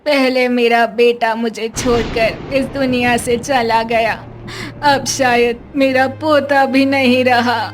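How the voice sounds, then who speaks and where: A woman's voice: fairly high pitch, flat delivery, takes it slowly, faint music behind. A woman voicing an anxious, loving old village grandmother in a cartoon story, mournful and tender. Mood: grieving. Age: older. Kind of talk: dramatised Hindi cartoon story dialogue.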